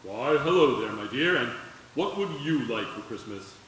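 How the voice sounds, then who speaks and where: A man's voice: medium pitch, lively intonation, moderate pace, fairly bright tone, a little hiss or hum, natural voice. A man playing a jovial, avuncular department-store Santa selling gifts in an old-time radio commercial. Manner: hearty, salesy. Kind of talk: 1940s radio commercial acting. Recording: echoey hall, distant, music behind.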